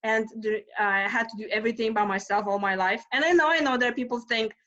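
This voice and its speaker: A woman's voice: a little high, quick pace, bright, forceful tone, highs cut off. A woman from Lithuania with a Lithuanian accent, a stress management coach chatting as a podcast guest, talking freely with many fillers, restarts and small laughs. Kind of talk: casual conversational interview.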